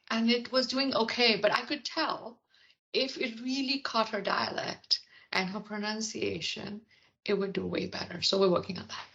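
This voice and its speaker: Animated Indian-accented woman. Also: a little high, lo-fi sound. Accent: Indian English, softened toward American. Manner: animated storyteller, acts out quotes. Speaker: product leader guest. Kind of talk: podcast interview.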